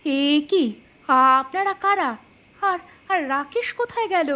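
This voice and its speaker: An actress playing a tired, resigned middle-aged mother soothing her grown son in an animated horror story. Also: extremely high pitch, a little slow, heavily acted. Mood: alarmed.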